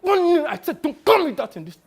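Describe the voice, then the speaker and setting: A man playing a brash, wheedling, money-minded talent agent with a Nigerian accent in a dramatic monologue, animated and full of exclamations. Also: high-pitched, measured pace, exaggerated voice. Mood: flustered, indignant. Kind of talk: one-person dramatic monologue.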